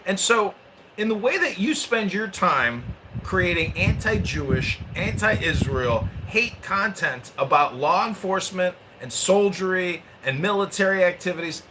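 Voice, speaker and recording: Male podcast host, lively pitch, crude and combative delivery, noisy background. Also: measured pace. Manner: crude, combative, swings between mutters and bursts. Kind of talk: provocative casual chat.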